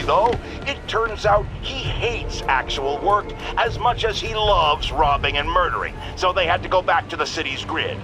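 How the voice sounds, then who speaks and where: Pompous blustering radio host, music behind. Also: male voice, sits high. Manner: pompous, emphatic, indignant. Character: blustering, self-righteous, paranoid male talk radio host. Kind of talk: opinionated talk radio rant.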